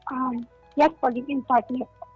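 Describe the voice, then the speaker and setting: Polite woman caller with a high voice. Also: little pitch movement, moderate pace, slightly rough, dry recording, narrow, tinny audio. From Philippines. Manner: polite, deferential, hesitant. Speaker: caller seeking advice. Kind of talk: casual hesitant answering. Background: faint music.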